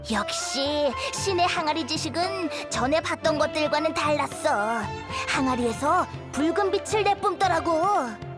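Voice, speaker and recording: Greedy lil merchant girl, real high voice, smug n sly sales talk, anime game, music bg. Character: small, shrewd, greedy girl merchant, smugly wheedling.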